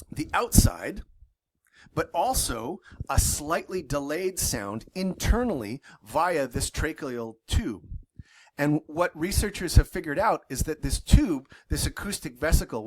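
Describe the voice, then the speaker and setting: A man's voice: mid-range voice, a little mellow. A man giving a university zoology lecture in an enthusiastic, loosely worded way, with frequent fillers and self-corrections.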